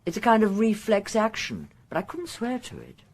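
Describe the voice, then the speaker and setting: Husky, expressive titled lady. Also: mid-range voice, normal speed, full character voice. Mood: precise, guarded. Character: efficient upper-class titled English wife, slightly indignant. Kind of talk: radio crime drama acting.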